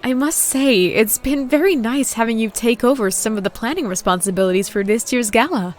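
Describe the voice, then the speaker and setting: A woman's voice: fairly high pitch, a little fast, close to an everyday voice. A woman voicing a regal, lovelorn pony princess and older sister in a scripted audio drama, wistful and theatrical, with sighs. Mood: nostalgic.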